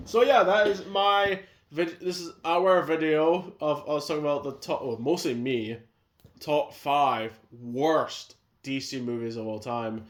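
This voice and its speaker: A man's voice: fairly high pitch, a little slow. A man hosting a casual chat that ranks movies, rambling and opinionated, with frequent restarts. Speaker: video host. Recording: echoey room sound.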